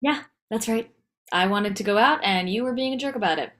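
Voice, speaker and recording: A woman playing a no-nonsense wife and mother with casual, deadpan delivery in a comedy table read. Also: fairly high pitch, fairly quick, natural voice. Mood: defensive, dry.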